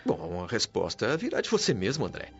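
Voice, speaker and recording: A man's voice: fairly low pitch, huge pitch swings, brisk, creaky, slightly rough, full character voice. A Brazilian man playing a wise, patient spirit guide in a radio drama, speaking in a formal, earnest, didactic way. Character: wise, patient male spirit mentor of a heavenly colony. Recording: dull lo-fi audio with music underneath.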